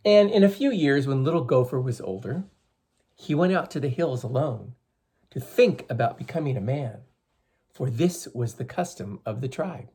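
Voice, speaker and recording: A reader with a slightly husky voice, reading a picture book aloud to young children and explaining things as they go. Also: mid-range voice, expressive, moderate pace, warm tone. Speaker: storybook reader for schoolchildren.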